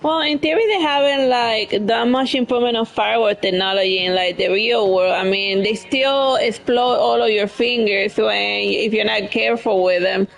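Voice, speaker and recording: A woman's voice: fairly high pitch, normal speed, vocal fry, few pauses. A woman streamer giving casual, rambling live commentary on a game she is playing.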